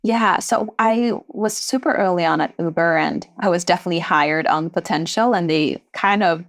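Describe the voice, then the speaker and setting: Low-voiced woman guest, reflective. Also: normal speed. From Sweden. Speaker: entrepreneur guest. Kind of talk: podcast interview.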